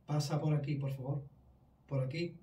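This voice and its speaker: Solemn high-pitched man in an echoey room. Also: fairly even intonation, warm tone. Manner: solemn, deliberate, repetitive short phrases. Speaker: paranormal investigator. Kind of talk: slow repeated summoning of spirits. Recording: echoey room, distant mic, faint noise.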